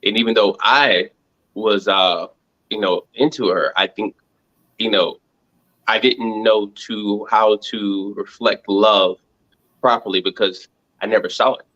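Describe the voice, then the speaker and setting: A man, answering casual interview questions in a halting, reflective way, thinking aloud. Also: lowish voice, fairly even intonation, pushed and bright, dull audio. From Montgomery, Alabama, United States. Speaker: reality show contestant interviewed as guest.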